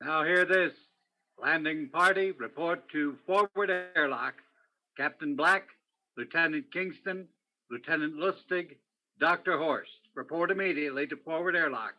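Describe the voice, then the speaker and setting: A man's voice: a little high, measured pace, highs cut off, close to an everyday voice. A man playing a dutiful ship's officer in a radio drama, formally calling out readings and announcements.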